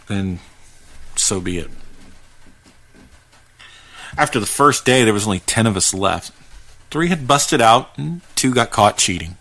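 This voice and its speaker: A man narrating a first-person creepypasta in a conversational, halting way, with dramatic pauses as he goes. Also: on the low side, pushed and bright. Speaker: podcast host reading a horror story.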